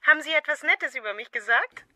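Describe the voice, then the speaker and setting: A sly young woman in a radio thriller. Her voice is high and very sharp, swinging widely in pitch with an eerie air. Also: expressive, quick pace, heavily acted. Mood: sly, teasing. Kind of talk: German radio play acting.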